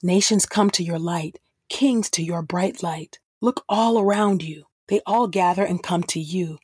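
A woman reads scripture as a podcast host, in a measured style. Her voice sits fairly low and has a husky grain. The sound is very dry and close. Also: a little mellow, no room sound.

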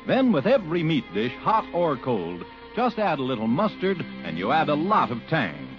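A man reads a 1940s radio commercial as an upbeat, persuasive pitchman. His fairly high voice rises and falls very freely. The recording is a dull old broadcast with music playing underneath. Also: wildly swooping pitch, moderate pace. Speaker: radio ad announcer.

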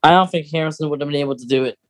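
Casual podcast co-host, mid-range pitch, conversational and rambling, often self-correcting. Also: rapid-fire. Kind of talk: casual chat.

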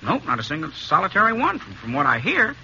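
An actor playing a chatty, boastful, friendly blacksmith with folksy, eager cowboy speech in a 1950s radio western. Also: male voice, on the high side, wildly swooping pitch, fast talker, bright, forceful tone, exaggerated voice. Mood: gossipy. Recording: dull, noisy old radio recording.

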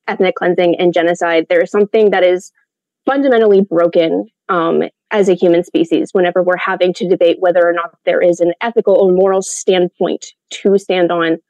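A woman's voice: fairly low pitch, darkish tone, dry recording, dull audio. A woman giving an earnest debate opening statement, insistent and dotted with ums.

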